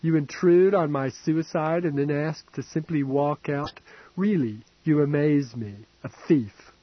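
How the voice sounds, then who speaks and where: A decadent old man, centuries old and fading fast, drawls and rambles with languid mockery, as a male narrator puts him on. His voice sits higher and louder than the reader's own. The audio is dull and lo-fi, like an old audiobook. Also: no room sound. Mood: mocking. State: drunk and fading. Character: ancient dying decadent rich old man. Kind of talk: audiobook character voice.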